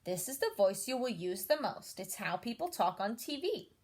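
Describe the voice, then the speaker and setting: A woman's voice: medium pitch, very animated, normal speed, darkish tone. A woman voicing a kind, patient storybook schoolteacher who coaches a pupil in an encouraging, instructive way. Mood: explaining.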